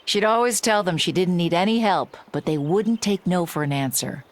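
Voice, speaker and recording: A woman's voice: lowish voice, normal speed, a little hiss or hum, natural voice. A woman playing a fond village neighbor in a video game, speaking in a conversational way. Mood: nostalgic.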